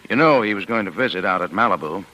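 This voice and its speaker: An actor playing a brusque, domineering police lieutenant in a 1940s radio crime drama, curt and interrogating. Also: male voice, fairly low pitch, lively intonation, fast talker, pushed and bright. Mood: stern, impatient. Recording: reverberant old radio drama recording.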